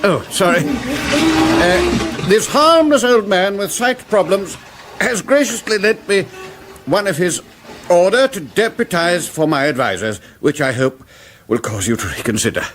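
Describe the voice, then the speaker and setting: A man's voice: sits high, expressive, measured pace, fairly bright tone, low-quality recording. An actor playing a foolish, fussy medieval king in a radio comedy, politely dithering and pompous. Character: foolish, fussy, evasive medieval king, mild-mannered and oblivious.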